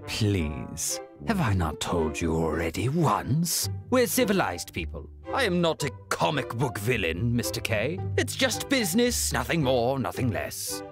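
An actor playing a suave, menacing gentleman debt collector in a video game, formal, condescending and deliberately polite. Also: medium pitch, huge pitch swings, music in the background. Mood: smug politeness. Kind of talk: video game character acting. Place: studio recording, orchestral strings behind.

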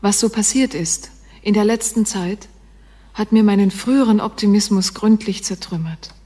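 A woman's voice: medium pitch, moderate pace, dark tone, lightly acted. An actress playing an earnest, reproachful woman voicing a letter to a friend in a radio drama. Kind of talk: radio drama acting, reading a letter aloud.

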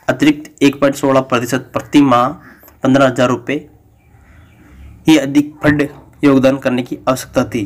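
Flat-voiced man reading pension news. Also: medium pitch. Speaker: news channel host. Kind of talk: read-out news bulletin.

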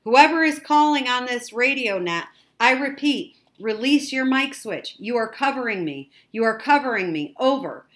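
A woman's voice: mid-range voice, moderate pace, hardly any pauses, a bit bright, voice pushed up, close to an everyday voice. A woman voicing a firm male radio operator in an audiobook story, with clipped, commanding procedural phrasing.

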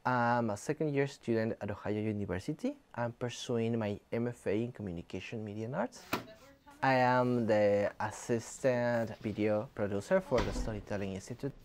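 A man's voice: on the low side, normal speed, noisy background. A young man from Ecuador, a native Spanish speaker with an Ecuadorian accent, talking in a documentary interview as a student video producer. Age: young adult. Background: faint music.

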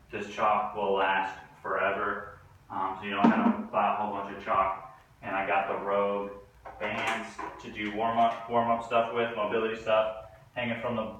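A young man giving a casual, relaxed tour of his home garage gym, filling pauses with ums and uhs. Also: on the low side, dull audio, background noise. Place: two-car home garage gym, indoors. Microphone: camera mic from a few meters away. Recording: echoey garage, distant from mic.

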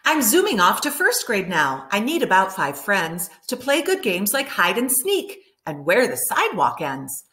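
Bright, lively woman reading to children. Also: mid-range voice, normal speed, few pauses. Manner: animated, enunciated for young children. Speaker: teacher reading aloud to children. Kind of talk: expressive children's picture book read-aloud. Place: room at home by a window, indoors.

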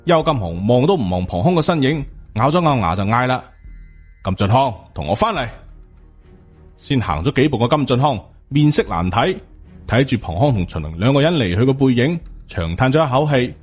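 A man's voice: mid-range voice, sing-song, fairly quick, breathy edge, faint music behind. A man with a Hong Kong accent, narrating an audiobook as dramatic scripted storytelling.

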